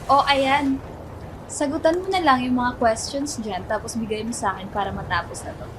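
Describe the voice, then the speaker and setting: A woman's voice: a little high, normal speed, background noise, lightly acted. A woman playing a haughty, dismissive young female student, snippy and dramatic in radio drama dialogue. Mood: annoyed, dismissive.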